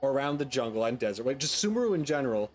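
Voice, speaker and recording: Rambling male gamer, medium pitch. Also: sing-song, racing, darkish tone, faint music behind. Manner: rambling, tentative, thinking aloud. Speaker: gaming content creator. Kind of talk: casual gameplay commentary.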